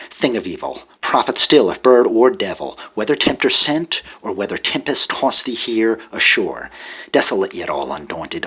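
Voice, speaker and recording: A man giving a dramatic poetry recitation, often dropping to a whisper and rising to loud pleading passages. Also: low-pitched, breathy edge. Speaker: poetry reader.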